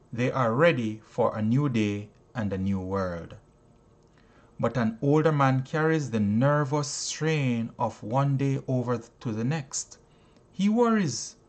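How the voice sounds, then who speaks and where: A man reading inspirational prose aloud like an audiobook narrator, deliberately and emphatically, pausing between short phrases. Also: medium pitch. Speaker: narrator reading a self-help book aloud.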